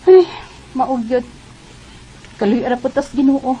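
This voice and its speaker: A woman's voice: sits high, a little slow, mellow, breathy edge, poor audio. A woman playing a naive, plaintive and stubborn teenage daughter in a Bisaya radio drama, with a Cebuano Filipino accent. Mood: weary, puzzled.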